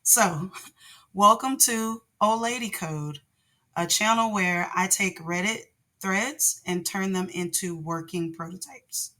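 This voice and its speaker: A woman hosting a casual tutorial vlog, hoarse from laryngitis, with a naturally deep voice and a rambling way of talking full of ums. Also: slow, pushed and bright. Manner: rambling, with many ums and restarts. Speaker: channel host, product designer.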